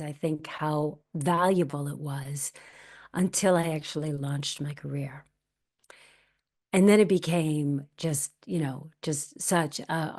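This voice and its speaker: A woman in her fifties, an actress, telling her story in a candid, reflective interview. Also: fairly low pitch. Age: 50s. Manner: candid, reflective, quotes her own past thoughts. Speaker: actress and guest.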